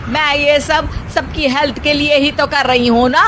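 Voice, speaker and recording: A woman's voice: high voice, steady tone, moderate pace, fairly bright tone, a little hiss or hum. A bossy, nagging mother-in-law. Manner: fussy, scolding, ends with a nagging "हैं?". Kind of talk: cartoon story voice acting.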